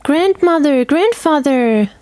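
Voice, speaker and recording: Young girl, storybook heroine, played by a woman: raised pitch, anxious, earnest, pleading. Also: animated, slow, a little mellow, dry recording, clean studio sound. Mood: calling out. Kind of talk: audio story character acting.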